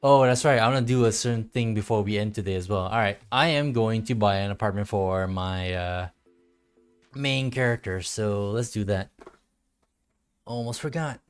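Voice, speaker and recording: A man's voice: on the low side, moderate pace, smooth, soft background music. A man streaming a game with casual solo commentary, often muttering to himself and casually swearing.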